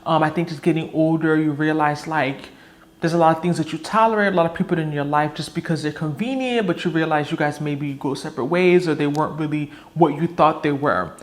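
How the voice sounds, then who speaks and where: A woman's voice: deep voice, a little fast. A woman in her early thirties talking frankly to camera in a casual personal vlog, reflective and candid. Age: 30s. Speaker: vlogger.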